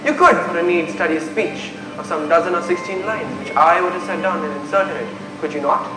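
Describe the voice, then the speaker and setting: Young male actor as anguished young prince, high voice, theatrical declamation, echoey stage, loud music behind. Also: fairly quick, lightly acted. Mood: brisk, commanding. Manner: declamatory, dramatic. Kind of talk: theatrical Shakespearean stage acting. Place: school stage performance in a hall.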